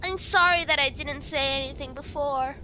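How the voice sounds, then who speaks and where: A woman's voice: high-pitched, moderate pace, sharp tone, poor audio. A woman playing a scared, hesitant girl witness in an amateur puppet show. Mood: frightened, hesitant. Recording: faint background noise.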